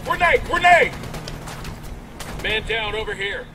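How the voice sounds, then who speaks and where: An actor playing a tense special forces soldier in a video game, giving clipped, urgent combat callouts. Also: male voice, high voice, expressive, takes it slowly, music in the background. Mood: urgent shouting. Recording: buried under loud game noise. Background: loud combat and vehicle noise.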